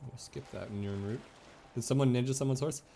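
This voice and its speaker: A man's voice: medium pitch, a little fast, gravelly, background noise. A man streaming a video game with casual, playful and sometimes sweary commentary.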